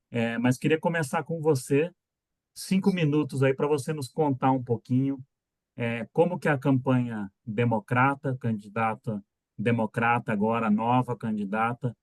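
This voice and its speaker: A man moderating an online panel, introducing the guests in a halting way with many filler pauses, on a video call. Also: medium pitch, no echo.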